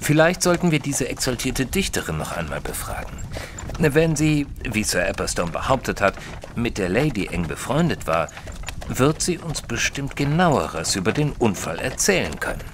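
An actor playing a detective's earnest, puzzled companion, narrating in the first person in a German audio drama in a measured, literary manner. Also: male voice, mid-range voice, sing-song, slight background noise, natural voice. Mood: earnest, curious. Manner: measured, formal, literary storytelling.

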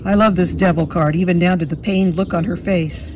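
A woman with a slightly hoarse voice from a cold, chatting fondly through a walkthrough of a card deck at her table. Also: on the low side, steady tone, brisk, background noise. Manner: fond, chatty, admiring. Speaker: tarot deck reviewer. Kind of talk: casual walkthrough. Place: indoors at a table.

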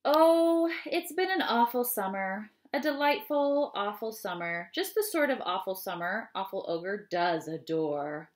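A woman in her thirties reads rhyming poems aloud for children. Her voice sits at an average pitch for a woman. She phrases the verse deliberately and expressively, with casual asides in between, recorded in a small room at home. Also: expressive. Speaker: read-aloud host. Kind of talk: children's poetry read-aloud, chatty asides.

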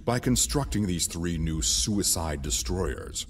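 A man playing a scheming imperial governor in a sci-fi audio drama, formal and measured with an oily courtesy. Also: sits low, lively intonation, slight background noise. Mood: smug, commanding. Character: scheming imperial governor, outwardly deferential, secretly mutinous. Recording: film music behind the voice.

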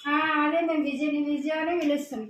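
A woman's voice: high voice, fairly even intonation, quick pace. A woman with a Telangana Telugu accent talking informally as a caller in a phone conversation, insistent, repetitive and argumentative. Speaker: prank caller. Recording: dull, echoey room with background noise.

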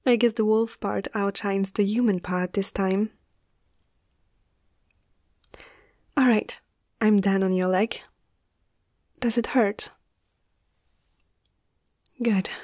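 A young woman playing a caring, slightly awestruck vet in a soft-spoken ASMR roleplay, gentle and hesitant, often slipping into a breathy half-whisper. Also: medium pitch, no echo, clean studio sound. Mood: calm, curious. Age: young adult.

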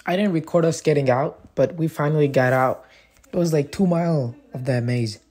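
A young man giving a relaxed, chatty voice-over for his vlog. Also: fairly high pitch, normal speed. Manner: laid-back, chatty. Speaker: vlogger.

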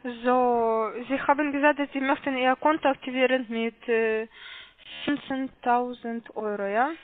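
A woman call-centre caller with a foreign accent in non-native speech, giving halting, insistent instructions and repeating her questions over a muffled phone line. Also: on the high side, fairly even intonation, a little hiss or hum. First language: not German. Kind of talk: scripted phone sales instructions.

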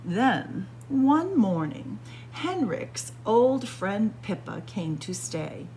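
A woman reading a children's picture book aloud with deliberate, expressive storytelling. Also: medium pitch, rough recording. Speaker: storytime reader. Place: indoors, room with library bookshelves. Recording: faint background noise.

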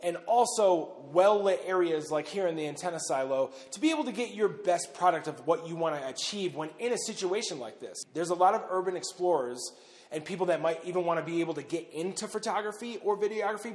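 A man in his thirties speaks casually to camera while standing in a huge underground missile silo. His voice sits a little high for a man, and he talks loosely, leaning on fillers. The long concrete reverb trails after every phrase. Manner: conversational, loose, with frequent "uh" and "pretty much". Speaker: bunker owner and host.

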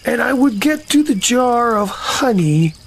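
A woman playing a slow, dreamy, honey-loving bear in an audio drama, musing and ponderous. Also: a little high, expressive, creaky, a little hiss or hum. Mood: pondering.

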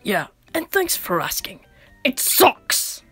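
Female actor as short, grumpy animatronic for a comic dub; bright, high voice with faint music. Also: huge pitch swings, takes it slowly, exaggerated voice. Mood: sarcastic, annoyed.

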